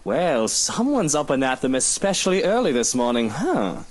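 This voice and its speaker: An actor playing a wealthy, breezy, condescending young British husband in a visual novel game. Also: male voice, mid-range voice, big pitch swings, moderate pace. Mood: teasing.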